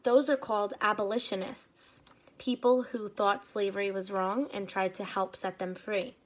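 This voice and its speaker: A woman teacher reading a picture book aloud to children, with expressive pacing and short explanatory asides. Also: mid-range voice, moderate pace.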